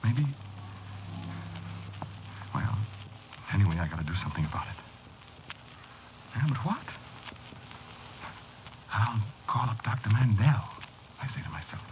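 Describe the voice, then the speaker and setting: An American actor playing a haunted, rattled businessman who tells his eerie story haltingly and confidingly in a 1940s radio horror drama, on a muffled, noisy old recording. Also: on the low side. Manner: halting, repeating himself, confiding. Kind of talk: 1940s radio acting, first-person horror narration.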